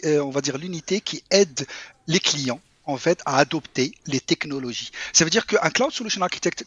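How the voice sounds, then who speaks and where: A man's voice: a little high, breathy edge, dry recording, muffled recording. A man in his thirties from Morocco, a podcast guest, explaining his work in a friendly way with some fillers. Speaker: guest, cloud architect. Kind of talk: casual interview.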